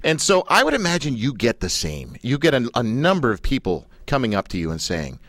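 A man's voice: mid-range voice, wildly swooping pitch, a little fast, a bit bright, no echo, clean studio sound. A man hosting a radio interview, chatty and self-deprecating, who laughs often. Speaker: radio host.

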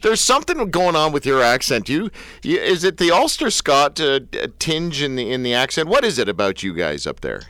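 Male host, bright voice, lively jokey banter. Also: a little high, normal speed, no room sound. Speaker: radio show host. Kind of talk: playful casual interview.

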